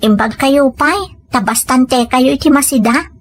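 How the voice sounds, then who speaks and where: A woman's voice: sits high, a little slow, breathy edge. A woman with a Filipino accent playing a dutiful, grateful young student and daughter in an audio drama, polite and modest. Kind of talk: audio comedy-drama acting.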